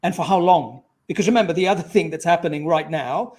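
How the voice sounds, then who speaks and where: A man's voice: on the high side, quick pace, darkish tone. A man interviewing in a conversational way, building long, discursive questions with asides along the way. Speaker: interviewer, host.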